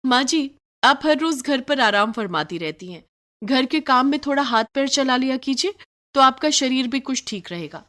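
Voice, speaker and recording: A woman's voice: sits high, lively intonation, moderate pace, a bit bright, pitched above the performer's own voice. A woman voicing a sly, lazy young daughter-in-law in a cartoon moral story, pert and falsely polite as she justifies herself. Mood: snide. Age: young adult.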